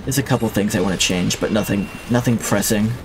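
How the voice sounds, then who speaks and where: A man's voice: on the low side, brisk, noisy background. Rambling man chatting on a game stream. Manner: rambling and reflective, with many restarts. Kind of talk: casual chat while livestreaming a game. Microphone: streaming mic with a limiter.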